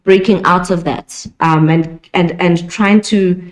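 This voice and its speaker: A woman's voice: on the low side, fairly even intonation, unhurried, rough recording. A woman from South Africa co-hosting a podcast, speaking thoughtfully and unhurriedly, circling back on her points. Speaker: podcast co-host. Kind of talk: casual conversation.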